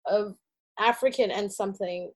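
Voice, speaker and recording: A woman from New Zealand, a podcast guest, chatting in an animated, storytelling way. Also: medium pitch, moderate pace. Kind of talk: casual conversational interview.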